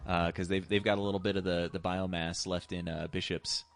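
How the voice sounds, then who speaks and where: A man chatting casually as a podcast co-host, retelling a plot haltingly with some swearing. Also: sits low, level tone, gravelly. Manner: hesitant, halting, profane.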